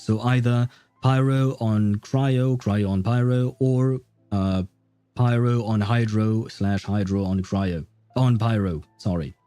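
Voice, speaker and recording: Man, game livestreamer, relaxed dark tone, informal explaining, opinionated, dry close mic, game music behind. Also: on the low side, dry recording. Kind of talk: casual live gaming commentary, explaining.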